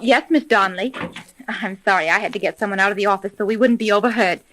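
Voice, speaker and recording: A woman acting a brisk, level-headed private detective in a 1930s radio serial, composed, earnest and businesslike. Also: mid-range voice, a bit bright, lightly acted, low-quality recording. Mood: urgent.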